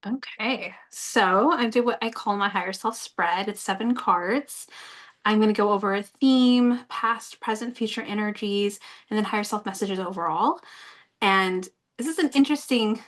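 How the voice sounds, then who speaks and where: Chatty woman tarot reader hosting, mid-pitched voice, just keeps talking and encouraging. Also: moderate pace. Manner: talkative, warmly encouraging, talks in long flowing runs. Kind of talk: casual chat, giving a tarot reading.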